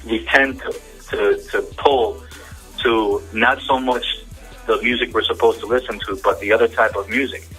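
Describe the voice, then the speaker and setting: A male host speaks in a husky yet bright voice. He interviews conversationally, often repeating the start of a phrase before moving on. Faint music runs behind the phone interview. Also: fairly low pitch, steady tone, low-quality recording. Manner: halting, repeats phrase openings.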